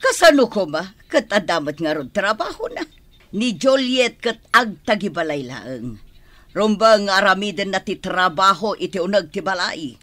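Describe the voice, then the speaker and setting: A woman acting a stern, scornful middle-aged mother-in-law in a radio drama, with a sharp, demanding manner. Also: mid-range voice, big pitch swings, normal speed, no echo.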